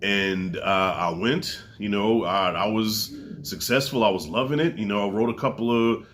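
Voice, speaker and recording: A man in his forties with a stuffy, congested nose, casually reviewing a comedy special to camera, loose and profane with big swings in pitch. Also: normal speed, a bit bright, a little hiss or hum.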